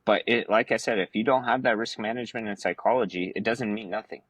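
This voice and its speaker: Really deep voiced guy from afghanistan, casual slangy podcast guest, emphatic, dry sound. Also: fast, fairly bright tone, breathy edge, no echo. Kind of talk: casual conversational storytelling.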